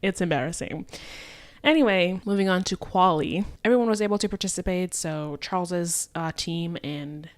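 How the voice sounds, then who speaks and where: A woman's voice: fairly low pitch, normal speed. A woman with a German-like accent giving a casual, chatty, opinionated recap as a motorsport vlogger.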